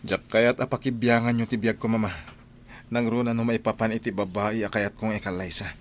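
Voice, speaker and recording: A man's voice: on the low side, little pitch movement, a little fast, slight background noise, lightly acted. A man with an Ilocano accent playing a defiant, argumentative grown son in a radio drama. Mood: firm, resolute. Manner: emphatic, argumentative. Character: defiant grown son standing up to his mother.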